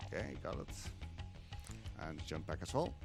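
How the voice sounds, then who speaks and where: A man's voice: extremely low pitch, sing-song, normal speed, over music. A young man giving live commentary while he speedruns a game at a marathon event, talking hesitantly with many fillers.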